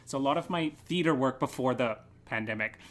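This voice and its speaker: Bright-voiced man in casual interview. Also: mid-range voice, brisk. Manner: enthusiastic, playfully joking. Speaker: guest, theatre artist and choreographer. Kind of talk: casual podcast interview chat.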